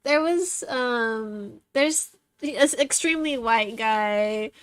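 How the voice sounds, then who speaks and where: A woman's voice: a little high, takes it slowly, dry recording. A woman telling a story animatedly in casual chat, giggling between lines. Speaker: stream co-host.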